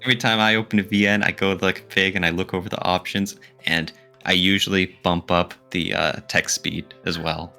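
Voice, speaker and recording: A man co-hosting a podcast, chatting casually and informally about his opinions with animated reactions. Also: low voice, fairly quick, creaky, few pauses, faint music behind. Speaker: podcast co-host.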